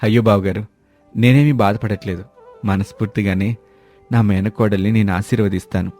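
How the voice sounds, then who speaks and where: A man plays a humble, worried uncle in a moral story. His voice is lowish and very mellow, subdued and polite, over faint music. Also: steady tone, moderate pace, lightly acted. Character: humble, worried poor uncle, proud and kindly.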